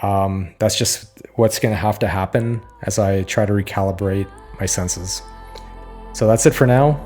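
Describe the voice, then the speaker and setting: Hoarse, weary man with a low voice. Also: a little mellow, faint music behind. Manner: halting, searching, with many restarts and fillers; weary, confessional. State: slightly hoarse. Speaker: podcast host. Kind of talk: candid solo podcast monologue.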